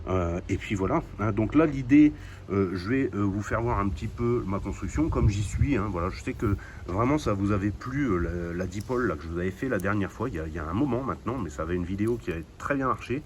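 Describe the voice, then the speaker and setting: Low-voiced man, casual demo, noisy recording. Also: lively intonation, quick pace. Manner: chatty, informal, thinking aloud. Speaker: hobbyist host of radio DIY videos. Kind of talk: casual hands-on demonstration.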